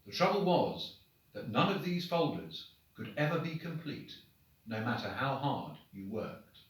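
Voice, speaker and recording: A man's voice: mid-range voice, big pitch swings, a little slow. Man reading aloud in a very echoey room. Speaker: headmaster reading pupils' stories. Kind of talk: expressive storybook reading to children. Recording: very echoey room, distant microphone.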